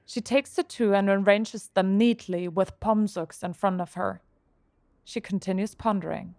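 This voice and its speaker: A woman narrates an audio drama from a script. Her voice is medium in pitch, and the recording is dry, with no room sound. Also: fairly even intonation, unhurried, creaky, a little mellow, dry recording.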